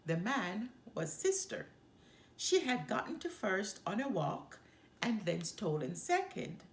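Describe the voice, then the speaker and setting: Female storyteller, expressive children's read-aloud, slow pace, creaky edges, roomy sound. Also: medium pitch, a little hiss or hum. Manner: expressive, dramatic reading. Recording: roomy echo.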